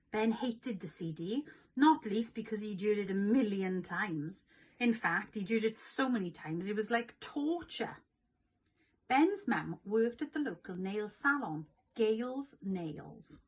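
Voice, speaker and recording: A woman narrating a children's storybook aloud in an expressive, scripted read-along style. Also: mid-range voice, slightly rough, poor audio.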